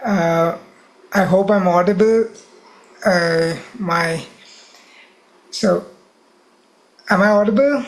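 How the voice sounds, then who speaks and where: A man's voice: high voice, slow, pauses often, low-quality recording. A man joining a live event over a video call, opening his talk with polite, hesitant greetings. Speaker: guest speaker.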